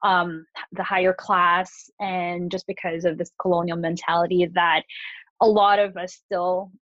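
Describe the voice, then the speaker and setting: A woman's voice: fairly low pitch, flat delivery, a little slow, a bit bright, no echo. Woman from the Philippines, chatty and full of fillers, on a dry, slightly dull mic. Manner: chatty, many fillers and restarts. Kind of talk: casual conversation.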